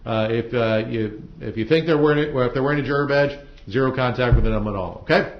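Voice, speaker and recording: A male judge talks briskly through procedure in a colloquial, plain-spoken way. He explains step by step to jurors and attorneys. The sound is roomy and somewhat dull, as from a courtroom recording. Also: mid-range voice. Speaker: judge presiding over a trial. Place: indoor courtroom.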